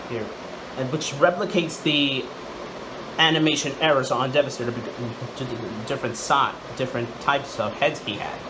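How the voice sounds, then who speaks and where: A man hosting a casual hands-on toy review, speaking haltingly and repeating words and phrases. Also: mid-range voice. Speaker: toy reviewer, channel host. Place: indoors, room at home. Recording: loud air-conditioner-like background noise.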